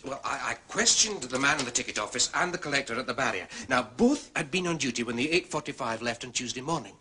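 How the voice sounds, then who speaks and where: A man's voice: mid-range voice, lively intonation, fast talker, sharp tone, husky. A man playing an earnest, defensive Scottish police subordinate with formal report-like phrasing in a radio drama. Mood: dutiful.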